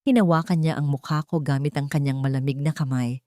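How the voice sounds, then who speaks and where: A woman reads a dramatic first-person romance story as its narrator. Her voice is fairly low and very mellow, in a very dry recording with no room sound. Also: steady tone, fairly quick, no room sound.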